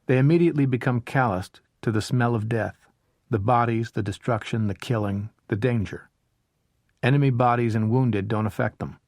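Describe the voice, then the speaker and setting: A seasoned lieutenant reflects gravely on combat, read by a man. His voice is middle-pitched and dry. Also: a little mellow, dry recording, lightly acted.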